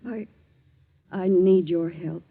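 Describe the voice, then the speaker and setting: An actress playing a regretful middle-aged woman with a husky, hesitant voice, pleading and worn by a cold, in a 1940s radio drama. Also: on the low side, takes it slowly, full character voice. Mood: timid, pleading. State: has a lingering cold. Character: shabby, regretful, timid middle-aged former wife. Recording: tinny vintage 1940s radio recording.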